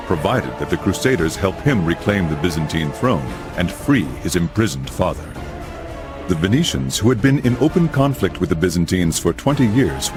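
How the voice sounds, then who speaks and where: An actor playing a grave older crusader father who narrates medieval history formally in a video game. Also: deep voice. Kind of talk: scripted dramatic narration.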